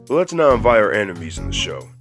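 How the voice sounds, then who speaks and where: A man's voice: lowish voice, big pitch swings, quick pace, over music. A man playing a big macho jock ape with a laid-back, boastful manner in a cartoon audio drama. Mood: easygoing.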